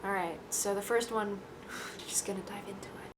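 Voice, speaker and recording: A woman's voice: medium pitch, steady tone, normal speed, noisy background. A young woman from Taiwan talks casually to camera in a rambling, self-deprecating, dryly joking way. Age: young adult. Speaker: vlogger.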